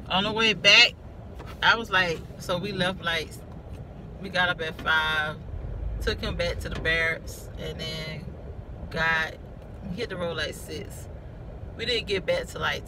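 A middle-aged woman, chatting away in a casual car vlog, sassy and full of exclamations. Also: lowish voice. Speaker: vlogger. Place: inside a car. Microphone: phone camera on the dashboard. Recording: far from the phone mic, loud car noise.

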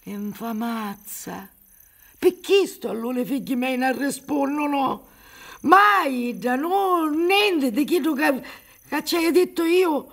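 A woman's voice: high-pitched, lively intonation, slow, a bit bright, full character voice. An older woman playing a poor, stubborn old Sicilian mother in a radio drama, pleading plaintively in a Sicilian accent. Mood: anguished, indignant. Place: theatre stage set up for radio recording.

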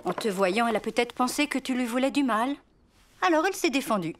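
A woman voicing a gentle mother bear in a preschool cartoon, soothing and encouraging. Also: a little high, expressive, fast. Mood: reassuring. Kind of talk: French preschool cartoon acting.

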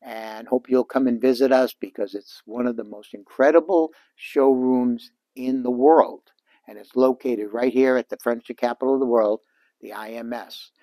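A man's voice: medium pitch, fairly even intonation, moderate pace, dark tone, dry recording, poor audio. Older man giving an upbeat showroom tour. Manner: enthusiastic salesman patter, addresses viewers directly. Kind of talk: walking showroom tour presentation. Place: large indoor furniture showroom. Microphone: lapel mic.

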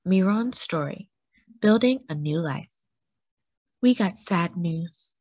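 A woman reading a children's book aloud for a classroom, slow and careful with lively rises on key words. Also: on the low side, no echo.

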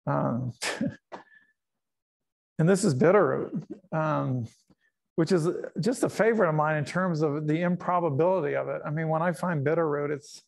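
A man's voice: on the high side, a little slow, vocal fry, pauses often. A middle-aged man who grew up in Panama, giving a casual slide talk about his nature photos, rambling with fillers, restarts and chuckles.

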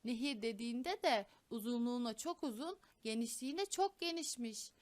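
High-pitched woman telling children's fairy tales. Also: lively intonation, moderate pace. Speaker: children's storyteller. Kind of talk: expressive scripted fairy-tale narration for children.

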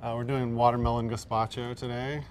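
Relaxed man chef on a TV kitchen set. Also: medium pitch, a little fast, a little hiss or hum. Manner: relaxed, loose, with many ums. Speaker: guest chef. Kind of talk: casual cooking demo chat. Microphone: lapel mic.

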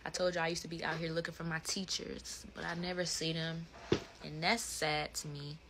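A young American woman with a bright, slightly low voice chats on a livestream. She is slangy, playful and animated, talking close to a phone indoors with faint noise behind her. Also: normal speed. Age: 20s. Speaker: livestream host. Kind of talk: casual livestream chat. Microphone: phone at close range.

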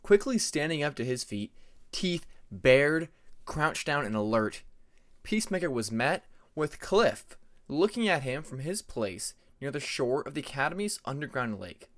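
A man's voice: medium pitch, fairly bright tone. A man narrating a fantasy story as an amateur audiobook reader, in a dramatic scripted style.

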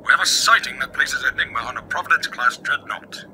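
A man playing a brisk, formal military officer giving a report in a sci-fi audio drama. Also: medium pitch, animated, bright, forceful tone, a little hiss or hum. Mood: urgent, formal. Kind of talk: fan audio drama acting.

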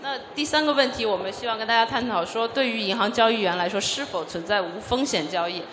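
A woman doing consecutive interpreting at a conference, measured and pausing with frequent fillers. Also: a little high, a bit bright, a little hiss or hum. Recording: echoey hall with faint ambience.

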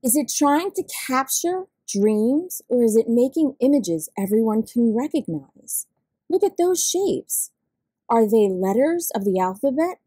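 A woman's voice: on the high side, big pitch swings, a little slow, dark tone, slightly rough, no echo, heavily acted. A woman voicing a gushing, intense museum guide who loves art, dramatic and lingering on words. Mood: awed, dramatic.

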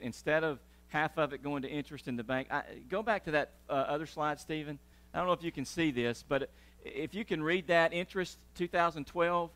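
Middle-aged man, a pastor, animated pulpit delivery, mid-pitched, church sanctuary. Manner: animated, gesturing while pacing the stage. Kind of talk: sermon with church announcements.

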